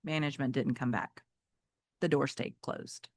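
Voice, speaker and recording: A woman's voice: extremely low pitch, moderate pace, slightly rough, dry recording, clean studio sound. A woman teacher reading a children's novel aloud expressively, speaking directly to her young listeners. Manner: expressive, addresses listeners with check-in questions.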